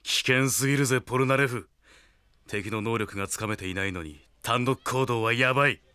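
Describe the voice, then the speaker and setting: An actor playing a cool, blunt young tough in an audio drama, terse and curt. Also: male voice, mid-range voice, moderate pace, sharp tone, clean tone, no echo, natural voice. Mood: cool, cautioning. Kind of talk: Japanese anime-style audio drama acting.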